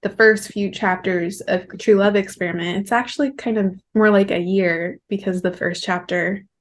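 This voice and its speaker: A woman co-hosting a casual book discussion, laying out her points in a measured, explanatory way. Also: medium pitch, steady tone. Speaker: book review show co-host.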